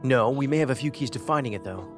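An actor playing a cerebral, pedantic investigator in an audio drama, speaking in a measured, analytical way. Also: male voice, medium pitch, lively intonation, natural voice. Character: cerebral, musing male investigator. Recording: faint music behind the voice.

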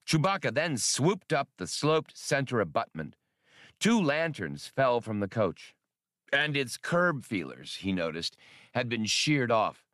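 A man's voice: medium pitch, a bit bright, dry recording, clean studio sound. A man reading an adventure novel as scripted, dramatic audiobook narration.

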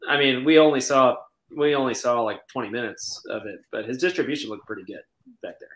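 A man's voice: medium pitch, brisk, poor audio. An American man co-hosting a podcast, giving easygoing, filler-filled opinions on a soccer game. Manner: relaxed, filler-heavy. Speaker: podcast co-host. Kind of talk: casual sports chat.

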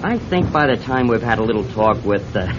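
A man's voice: on the low side, sing-song, brisk. An actor playing a breezy, folksy, confident man in police authority in a radio drama. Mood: smugly authoritative. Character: breezy male con man posing as policeman. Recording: dull, noisy old radio recording.

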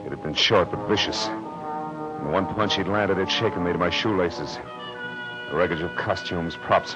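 A man's voice: sits low, lightly acted. An American man acting a tough, wry private detective in a 1940s radio drama, speaking in a clipped, hard-boiled way. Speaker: lead actor and narrator. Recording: muffled old radio recording, loud music.

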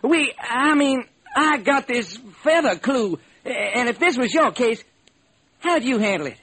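Casting: dogged American homicide cop, very high husky voice, eager, animated, classic radio drama. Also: male voice, a little slow, heavily acted. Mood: eager, puzzled. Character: eager, dogged male homicide police lieutenant. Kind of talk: old-time radio detective drama acting.

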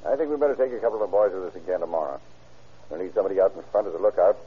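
Vintage radio cop: deep, husky, clipped and quick, cautious and hard-boiled. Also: male voice. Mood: businesslike. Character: tough, cautious police detective, a grown man. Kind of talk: 1940s-50s radio crime drama acting. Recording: old muffled-voiced radio drama recording.

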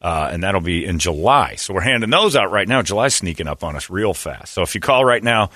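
A man's voice: sits low, animated, brisk, pushed and bright, no room sound, clean studio sound. A man hosting a morning radio show, joking and laughing through casual banter.

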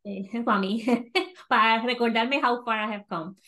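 A woman with a Puerto Rican Spanish accent hosting a casual podcast chat, lively and quick to laugh, slipping English words into her Spanish. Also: a little high. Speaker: podcast host.

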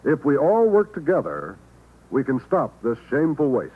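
Tinny, animated vintage radio announcer man. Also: fairly high pitch, normal speed, heavy background noise. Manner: formal, earnest, emphatic. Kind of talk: scripted 1950s radio announcement. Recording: tinny, narrow-band vintage radio recording.

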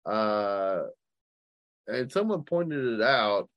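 A man's voice: on the low side, expressive, extremely slow, dry recording, highs cut off. A man co-hosting a casual podcast over an online call, rambling and tentative. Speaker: podcast co-host.

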